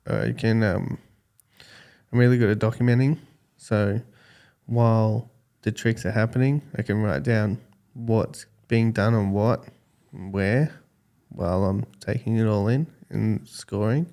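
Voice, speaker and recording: Calm, low-voiced Australian man in his forties. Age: 40s. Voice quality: calm, smooth voice. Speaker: podcast guest, skateboarding judge. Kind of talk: casual interview chat.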